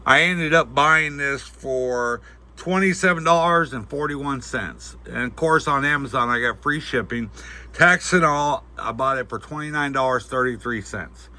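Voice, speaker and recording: A man's voice: mid-range voice, measured pace, sharp tone. A man giving a casual, unscripted product review in a folksy, hesitant, rambling way.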